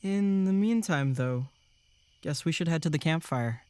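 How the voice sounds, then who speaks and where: An actor playing a steady, reassuring young male camp counselor in an audio drama, with a calm, dry manner. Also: medium pitch, unhurried, clear, resonant tone, no echo, close to an everyday voice.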